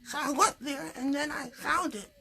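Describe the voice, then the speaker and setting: A man's voice: very high-pitched, moderate pace, vocal fry, bright, forceful tone, pitched above the performer's own voice, heavily acted. A man voicing a sassy young girl puppet who talks in a blunt, childlike, hesitant way in an improvised puppet show. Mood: recounting.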